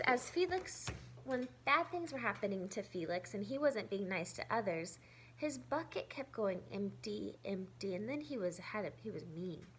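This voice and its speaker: A woman reads a children's story aloud as a teacher would, pausing to explain things simply. Her voice is fairly high and very animated, at an unhurried, slow pace. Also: slight background noise. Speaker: teacher reading aloud to pupils. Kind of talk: expressive children's story read-aloud with asides.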